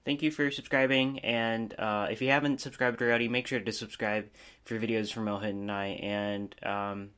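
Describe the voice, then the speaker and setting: Low-voiced casual guy reviewing stuff. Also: flat delivery, normal speed, a bit bright, clear, resonant tone. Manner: rambling, self-deprecating, informal. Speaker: app review video host. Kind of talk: casual unscripted app review.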